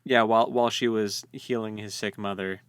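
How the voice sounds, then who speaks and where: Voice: medium pitch, steady tone, brisk, smooth, no room sound, studio quality. A podcast co-host chatting casually about a novel with tongue-in-cheek commentary, repeating phrases and jokingly acting out lines. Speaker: co-host of a book read-along podcast.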